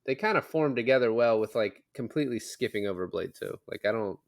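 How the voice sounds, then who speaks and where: A man's voice: mid-range voice, lively intonation, fairly quick, creaky, dry recording. Man chatting casually, dry close sound. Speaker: podcast co-host. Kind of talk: casual film discussion.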